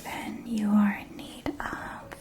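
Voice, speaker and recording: A woman playing a kindly potion-supply shopkeeper in a scripted fantasy ASMR roleplay, speaking in a soft, breathy whisper with deliberate, gently explanatory delivery. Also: mid-range voice, flat delivery, music in the background, lightly acted. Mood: soothing, helpful. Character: kindly female shopkeeper of magical witch-school potion supplies.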